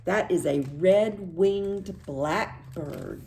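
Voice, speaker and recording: A woman's voice: on the low side, drawn-out words, gravelly, a little mellow, slight background noise. An American woman hosting storytime for young children, reading in a singsong way and asking simple questions, then affirming the answers. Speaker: children's librarian, storytime host.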